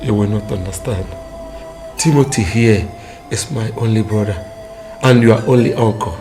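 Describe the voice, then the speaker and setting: A man's voice: on the low side, moderate pace, darkish tone, soft background music, lightly acted. An actor playing a weary father who speaks solemnly of his coming death. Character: older dying father, solemn and resigned.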